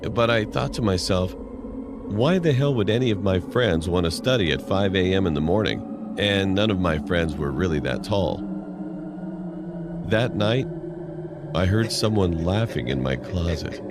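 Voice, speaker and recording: A man's voice: low-pitched, a little slow, pauses often, heavy background noise. A man reading a scripted first-person horror story as narration. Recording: music playing loudly behind. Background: loud music.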